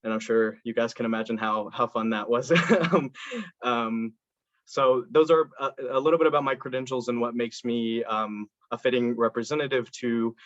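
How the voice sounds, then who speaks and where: A man's voice: medium pitch, fairly even intonation, normal speed, fairly bright tone, no room sound. A young man from Indiana speaking earnestly as a candidate in a student election, with many fillers and restarts. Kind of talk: campaign speech and answers to questions. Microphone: video call. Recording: dull lo-fi video call audio, dry.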